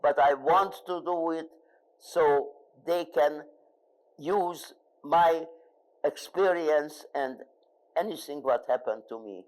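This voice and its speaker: An older woman with a Hungarian accent, a native Hungarian speaker, answering questions as a guest speaker in a live interview, pausing often and searching for words. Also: deep voice, darkish tone, rough recording. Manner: halting, searching for words with frequent fillers. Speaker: Holocaust survivor, guest speaker.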